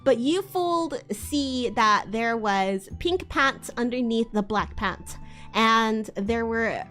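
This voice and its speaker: A woman streamer doing a live dramatic reading of fan fiction in an expressive, theatrical way. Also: fairly high pitch, slow, soft background music. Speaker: livestream host.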